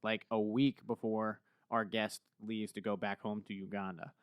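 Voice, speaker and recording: A male podcast host with a smooth, resonant voice, upbeat and ready with a joke. He sounds close and dry on a studio mic, with no room echo. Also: on the low side, level tone, moderate pace, muffled recording. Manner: upbeat, joking, fluent with fillers. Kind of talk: casual podcast hosting.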